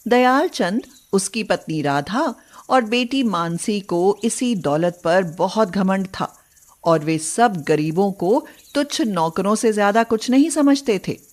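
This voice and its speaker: A woman narrates a storybook tale at a slow, measured pace. Her pitch sits in the middle of the female range, and the delivery is scripted storytelling. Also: expressive.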